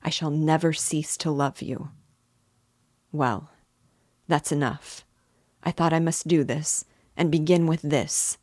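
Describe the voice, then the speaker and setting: A woman's voice: low voice, fairly even intonation, takes it slowly, long pauses, a little mellow, no echo, studio quality. A woman doing a grave, troubled young man. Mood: resigned. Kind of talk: audiobook character reading.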